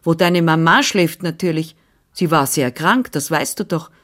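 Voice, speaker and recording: A firm, managing older housekeeper who talks in brisk instructions, voiced by a woman reading a storybook. Her voice sits low and steady. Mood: bossy.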